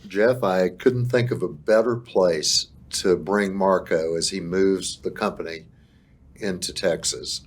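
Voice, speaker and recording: Deep-voiced, husky man. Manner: promotional, deliberate, with pauses. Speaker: business group leader. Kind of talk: interview guest.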